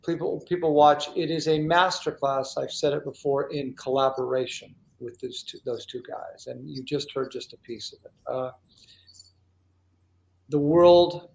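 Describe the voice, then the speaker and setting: A man's voice: on the high side, vocal fry, darkish tone. A man hosting a talk show in a casual, heartfelt way, speaking effusively and with emphasis. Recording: roomy, echoey sound.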